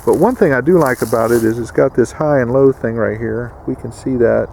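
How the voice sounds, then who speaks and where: A man hosting a casual instructional vlog with a gravelly voice, addressing viewers in a folksy way. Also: medium pitch, a little fast, darkish tone, a little hiss or hum, rough recording. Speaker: video host.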